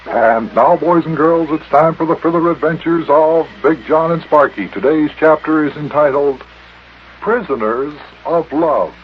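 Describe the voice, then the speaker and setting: A man's voice: on the high side, unhurried, highs cut off, slight background noise. A man narrating and acting as host of an old-time radio serial for children. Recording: band-limited old radio recording.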